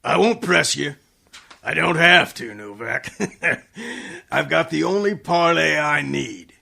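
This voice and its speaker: A man acts a gruff police inspector in an old-time radio detective play. His voice is heavily creaky with a hard, forceful edge, and he talks a little slowly. He is a bully who rattles easily. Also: on the high side, very animated, exaggerated voice. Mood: menacing, smug. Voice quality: gravelly, raspy.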